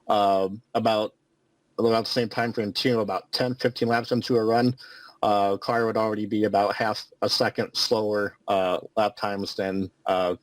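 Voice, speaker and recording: A man giving casual race analysis as a guest, halting with many fillers. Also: lowish voice, no room sound, rough recording. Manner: halting, lots of likes and ums. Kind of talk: casual race preview talk.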